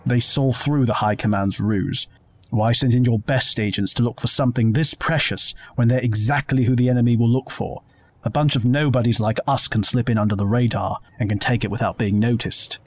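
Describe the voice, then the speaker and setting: A man's voice: mid-range voice, fairly even intonation, a little fast, lightly acted. Man playing level-headed, medium-voiced sci-fi crewman. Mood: calm, reasoning. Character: level-headed male crewman on a secret mission. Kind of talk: full-cast audio drama acting.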